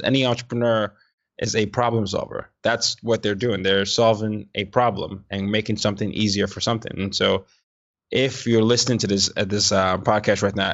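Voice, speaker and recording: A man's voice: lowish voice, vocal fry, a bit bright, lo-fi sound. A man hosting a podcast interview who stammers into his questions and speaks encouragingly to listeners. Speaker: podcast host. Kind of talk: interview conversation.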